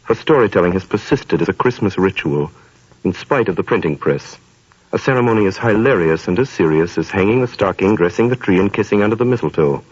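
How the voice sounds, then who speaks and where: Man narrating in a low voice. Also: animated, a little fast, a little mellow, breathy edge. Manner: grand, theatrical. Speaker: narrator and host. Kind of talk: measured storytelling narration. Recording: lo-fi 1930s radio broadcast.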